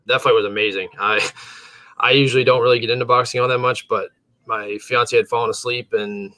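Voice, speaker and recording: A man's voice: medium pitch, pushed and bright. A man co-hosting a casual sports podcast from his home computer setup, a little tired, rambling loosely with chuckles. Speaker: podcast co-host.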